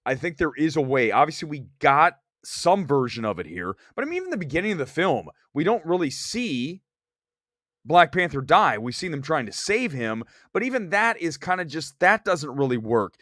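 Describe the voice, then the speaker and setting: A man's voice: fairly high pitch, big pitch swings, moderate pace, a bit bright, no echo, clean studio sound. Man hosting movie talk, slight cold. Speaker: movie talk show host. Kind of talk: opinionated film review reading viewer comments.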